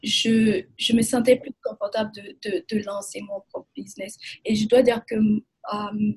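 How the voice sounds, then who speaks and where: A woman from Burkina Faso, answering interview questions in hesitant, measured phrasing. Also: medium pitch, level tone, husky, rough recording. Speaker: guest, immigration lawyer.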